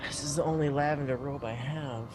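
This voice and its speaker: A man's voice: medium pitch, fairly even intonation, a little fast, noisy background. A man playing a tabletop roleplaying game, switching casually between table chat and speaking as his character.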